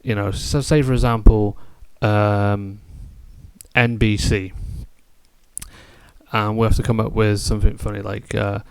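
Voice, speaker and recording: A man's voice: on the low side, little pitch movement, a little slow, creaky, smooth, no echo. A man in his late twenties hosting a gaming live stream, chatting in a rambling, hesitant, jokey way. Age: late 20s. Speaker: gaming channel host. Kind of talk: casual live-stream chat.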